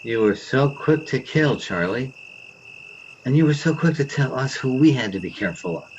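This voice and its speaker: Casting: a man as a taunting mob-leading neighbor, suspicious, lively swings in pitch, radio drama. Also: normal speed, lo-fi sound, a little hiss or hum, close to an everyday voice. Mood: accusing. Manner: pointed, confrontational. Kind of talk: radio play acting.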